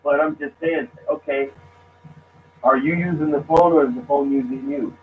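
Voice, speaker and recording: A man speaking casually as a guest, halting and restarting his sentences. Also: fairly high pitch, steady tone. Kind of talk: casual discussion. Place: lives abroad. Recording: tinny phone line with faint noise.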